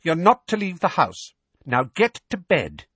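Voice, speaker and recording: A man's voice: medium pitch, animated, a bit bright, husky, no room sound, studio quality, voice pushed up, exaggerated voice. A man voicing a snappish middle-aged aunt with a shaky voice, in an audiobook story. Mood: brisk, snappish. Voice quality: shaky, weak voice. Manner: brisk, snappish, faltering under strain.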